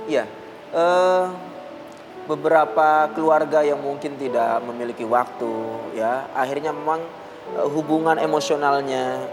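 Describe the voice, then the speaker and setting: A man speaking as a psychology guest expert on a talk show, explaining in a measured way with pauses and hesitations. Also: a little high, over music, poor audio.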